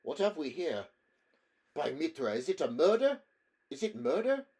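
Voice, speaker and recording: A man's voice: medium pitch, expressive, normal speed, darkish tone, natural voice. A foppish young nobleman with an affected lisp, as a man puts him on. He drawls in a sly, dainty manner. It belongs to a read-aloud adventure story. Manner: lisping, affected.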